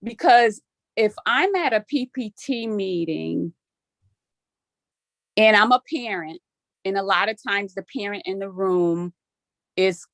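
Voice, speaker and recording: A woman's voice: medium pitch, a bit bright, no echo. A native Spanish-speaking woman giving informal, emphatic remarks as a board member at a meeting, thinking aloud as she goes.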